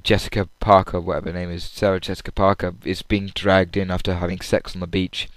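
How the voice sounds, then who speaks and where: A man with a fairly low, clean voice chats casually to himself on a personal audio log. He rambles through his story in an informal way, and the recording is close and dry with little room sound. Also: little pitch movement, a little fast, dry recording. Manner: rambling, acts out quoted lines loudly. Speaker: audience member recapping a comedy show.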